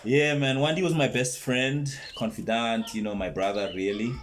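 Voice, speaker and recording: A man, born in Zambia, talking as the guest in a relaxed podcast interview, measured and reflective. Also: mid-range voice. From born in Zambia, raised in South Africa. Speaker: guest, brand co-founder.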